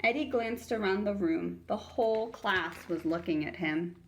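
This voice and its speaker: A woman's voice: mid-range voice, normal speed, a little hiss or hum, low-quality recording. A woman reading a children's story aloud as a teacher would, narrating expressively for young listeners.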